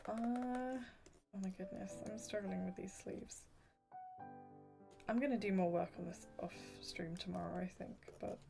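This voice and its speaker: A soft-spoken woman whose voice is going hoarse, chatting apologetically with viewers as she draws on a livestream. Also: medium pitch, a little slow, over music. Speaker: art streamer. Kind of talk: casual livestream chat while drawing.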